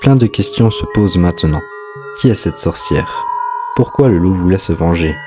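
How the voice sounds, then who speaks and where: A man's voice: low-pitched, animated, moderate pace. A man hosting and narrating a scripted explainer of a horror story. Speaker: host, narrator. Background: music.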